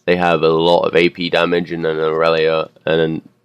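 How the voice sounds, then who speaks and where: A young man commentating a custom game he also plays in, his voice a bit hoarse, rambling and correcting himself as he goes. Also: a bass voice, completely flat delivery, normal speed, no room sound. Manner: rambling, unsure, self-correcting, filler-heavy.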